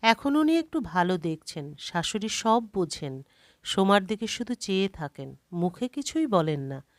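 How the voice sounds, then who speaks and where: A woman's voice: mid-range voice, very animated, moderate pace, no room sound, clean studio sound. A woman reading a scripted short story as a storyteller.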